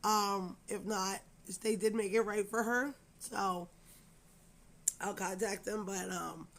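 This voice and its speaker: A woman hoarse from a cold, her voice raspy and scratchy. She talks to her viewers at home in a loose, rambling way, full of fillers and apologies. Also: medium pitch, fairly even intonation, slow, fairly bright tone. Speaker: crochet channel host. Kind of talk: casual chat to camera.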